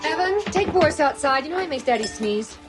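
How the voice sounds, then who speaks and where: Frazzled, indignant suburban mother, high voice, natural TV drama delivery, music underneath. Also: lively intonation, a little fast. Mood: brisk, domestic. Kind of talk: naturalistic television drama acting.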